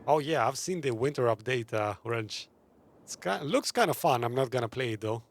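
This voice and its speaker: A man's voice: medium pitch, animated, normal speed, a little hiss or hum. A man giving casual, laid-back gameplay commentary as a streamer, with a wry manner. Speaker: gaming streamer. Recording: game music clearly behind voice.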